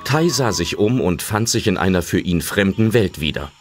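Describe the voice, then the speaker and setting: A man's voice: deep voice, lively intonation, moderate pace, faint music behind. A man narrating a German children's audio drama as a dramatic scripted storyteller.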